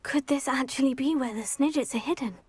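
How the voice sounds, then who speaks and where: A woman's voice: high-pitched, brisk, darkish tone, breathy edge, no echo. A woman playing a polite, questioning young student witch, the heroine of a fantasy video game, in a composed manner. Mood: ready, polite. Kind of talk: scripted fantasy game dialogue.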